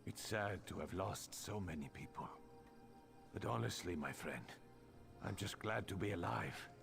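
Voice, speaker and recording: Weary survivor, deep gravelly voice, game npc. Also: male voice, lively intonation, unhurried, full character voice. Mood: sad, relieved. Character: weary battle survivor, sad but relieved. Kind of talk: video game character dialogue. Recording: quiet voice under game music.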